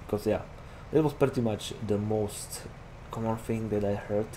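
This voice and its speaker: A young man with a Slovak accent and a slightly low voice rambles casually through his gameplay, leaning on "like" fillers. Clear engine and vehicle noise from the game runs under his desk-mic recording. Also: fairly even intonation, moderate pace, warm tone, rough recording. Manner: rambling, chatty, heavy use of "like" fillers. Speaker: gaming YouTuber.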